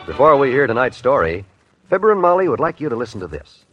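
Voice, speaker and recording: A man's voice: medium pitch, big pitch swings, fast. A man announcing a 1950s radio show in scripted narration on a dull old recording. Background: music.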